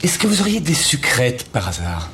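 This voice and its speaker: A man's voice: mid-range voice, wildly swooping pitch, moderate pace, very noisy. An actor playing a wary male suspect under questioning, dry and sardonic, in a dubbed crime drama. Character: wary male suspect craving cigarettes, sly. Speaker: suspect in interrogation. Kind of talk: French dub of a TV crime drama.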